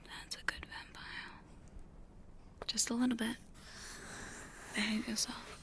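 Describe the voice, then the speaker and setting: A woman's voice: a little high, flat delivery, bright, forceful tone, heavily acted. A woman playing a teasing, domineering female vampire hunter in a mostly whispered, seductive ASMR roleplay. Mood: bossy, condescending. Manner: slow, breathy, seductive, commanding. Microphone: close studio condenser microphone.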